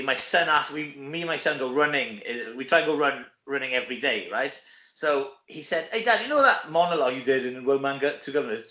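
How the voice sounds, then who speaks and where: Welsh male actor as interview guest, higher-pitched and bright, jokey and chatty, recorded in an echoey room. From Wales. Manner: animated, self-deprecating joking. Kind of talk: casual chat interview. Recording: dull, echoey room sound.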